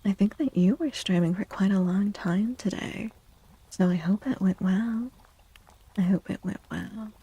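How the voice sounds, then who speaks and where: A woman speaking softly and breathily in a gentle, unhurried half-whisper, chatting as an ASMR livestream host. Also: medium pitch, slightly rough. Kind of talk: soft-spoken ASMR livestream chat. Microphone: close microphone, dry.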